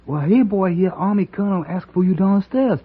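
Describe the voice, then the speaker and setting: A man playing an excitable, deferential hotel bellhop in broken English, in an old-time radio drama. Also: sits high, brisk, husky. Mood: anxious. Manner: clipped broken English, breathless eagerness. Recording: muffled, noisy old radio recording.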